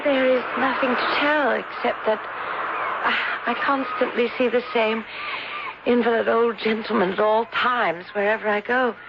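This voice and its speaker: Delicate, creaky-voiced young lady played by a woman. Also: fairly high pitch, steady tone, normal speed, lightly acted. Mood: polite melancholy. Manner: delicate, hesitant, polite. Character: frail, melancholy, genteel young English lady. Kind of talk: old-time radio drama acting. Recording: noisy dull old radio broadcast.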